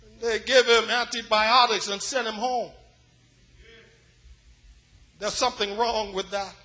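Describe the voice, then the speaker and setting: A man from West Africa preaching an impassioned sermon, dramatic, moving between hushed gravity and loud, repeated cries. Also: high voice, steady tone, measured pace. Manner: dramatic, swinging from hushed grave passages to shouted repetition.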